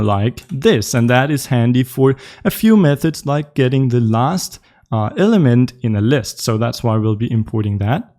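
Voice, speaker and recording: A young man with a Danish accent walks viewers through building a game live. His voice sits in a middle pitch. He speaks casually, thinking aloud with plenty of ums and uhs. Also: mellow. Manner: casual, explaining while coding, frequent ums and uhs. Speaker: tutorial host. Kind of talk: live coding tutorial.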